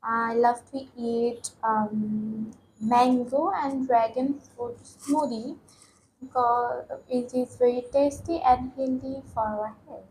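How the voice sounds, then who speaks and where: A teenage woman from Bangladesh, answering exam questions slowly with many ums and pauses. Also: on the high side, steady tone, darkish tone, a little hiss or hum, poor audio. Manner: hesitant, frequent ums and pauses. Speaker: test candidate. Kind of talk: mock speaking exam answers.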